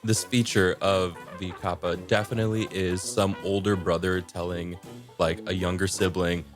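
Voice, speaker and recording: A man explaining things in a casual podcast chat, halting and thoughtful with light amusement. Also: low-pitched, flat delivery, over music. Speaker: podcast speaker.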